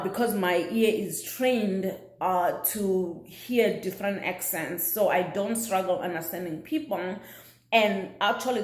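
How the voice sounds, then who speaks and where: South African woman vlogging in an echoey room. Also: medium pitch. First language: Xhosa. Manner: chatty, with many fillers and restarts. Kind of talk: casual talk to camera. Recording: roomy echo.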